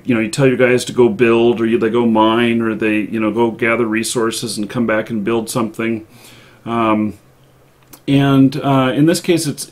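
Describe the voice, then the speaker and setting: A man hosting a live show, chatting casually about games in a halting way with pauses mid-thought. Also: medium pitch.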